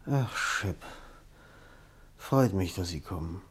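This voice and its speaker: An actor playing an ailing, proud, irritable older writer in a German radio drama, grumbling and self-mocking in a weary manner. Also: male voice, low voice, lively intonation, slow pace, breathy edge, exaggerated voice. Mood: weary, regretful. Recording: noisy radio drama recording.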